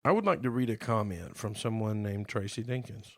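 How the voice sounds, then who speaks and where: A man chats on a podcast in a gravelly, slightly hoarse voice. He teases and jokes and reads aloud in a drawn-out way. The recording is dry and close. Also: lowish voice, fairly bright tone, no echo. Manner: playful, teasing, drawn-out reading aloud. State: slightly hoarse from being sick. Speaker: podcast co-host. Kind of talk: casual chat.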